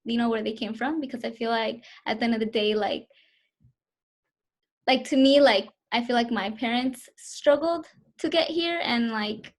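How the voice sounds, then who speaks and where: A woman's voice: a little high, moderate pace. Hesitant young woman on a video call. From Southern California, US. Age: 20s. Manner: hesitant, many fillers. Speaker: interviewee. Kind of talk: casual interview answers.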